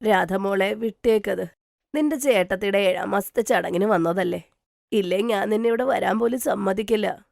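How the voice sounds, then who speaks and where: A woman voicing a gentle, worried, resigned mother who soothes and placates in a cartoon story. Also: mid-range voice, moderate pace, gravelly, dry recording, clean studio sound, close to an everyday voice. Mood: tender, resigned. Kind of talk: dramatised cartoon story dialogue.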